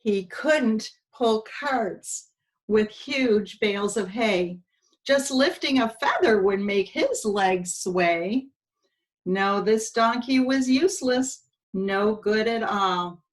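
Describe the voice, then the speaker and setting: A woman's voice: medium pitch, expressive, takes it slowly. A woman preschool teacher reading a storybook aloud expressively to young children, with little asides to her listeners.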